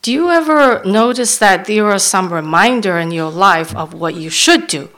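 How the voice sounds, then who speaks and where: A woman interpreting a sermon into English as it happens, often halting and restarting while she searches for words. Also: mid-range voice, animated, a bit bright.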